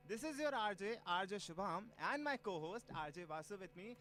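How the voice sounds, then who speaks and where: A young man hosts a student radio show, asking guests casual questions. His voice sits high and he talks at a fast clip. Soft music plays behind him. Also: animated. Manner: upbeat announcer greeting, then casual questions. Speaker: radio host. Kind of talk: campus radio interview.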